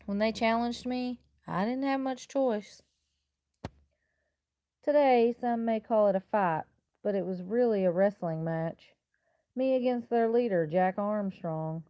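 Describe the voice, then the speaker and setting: Female teacher for picture-book narration; mid-range voice, deliberate emphasis, dry close recording. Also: medium pitch, warm tone, clear, resonant tone, no echo. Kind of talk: expressive children's storybook read-aloud in first person.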